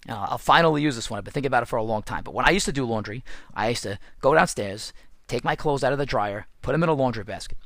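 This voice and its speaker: A man hosting a casual podcast, hoarse and raspy from losing his voice, chatty and self-deprecating. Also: mid-range voice, fairly bright tone, dry recording. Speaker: podcast host and football coach. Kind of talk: casual solo podcast with ad read.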